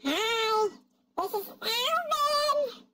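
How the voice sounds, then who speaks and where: Squeaky cartoon chipmunk through a voice changer. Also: male voice, animated, drawn-out words, sharp tone, full character voice. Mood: chirpy, helpful. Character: squeaky cartoon chipmunk, chirpy little male. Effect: high-pitched voice changer.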